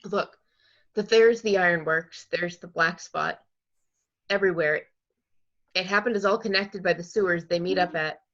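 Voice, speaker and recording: A woman's voice: lowish voice, moderate pace, long pauses, fairly bright tone, highs cut off. A woman reading the part of a grieving teen boy who leads his friends, earnest and urgent, at an amateur table read.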